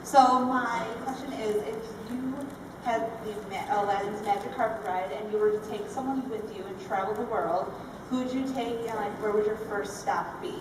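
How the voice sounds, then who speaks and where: A woman's voice: mid-range voice, fairly even intonation, normal speed. A woman fan asking a hesitant question at a convention panel. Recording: echoey hall, distant mic, noise.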